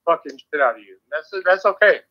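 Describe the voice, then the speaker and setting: A man's voice: fairly high pitch, lively intonation, brisk, pushed and bright, lo-fi sound. A man arguing combatively, swearing and repeating his claims insistently. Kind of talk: heated online argument.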